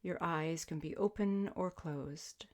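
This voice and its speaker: A woman with a low-pitched voice leads a guided relaxation as a yoga teacher. She gives her instructions gently and soothingly, as measured invitations with space left between them. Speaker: yoga nidra meditation teacher. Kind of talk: guided sleep meditation, spoken instruction.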